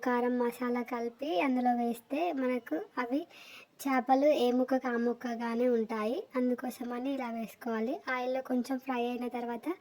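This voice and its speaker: A woman's voice: a little high, darkish tone, rough recording. A woman narrating a recipe voice-over step by step in an explanatory way. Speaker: cooking vlogger.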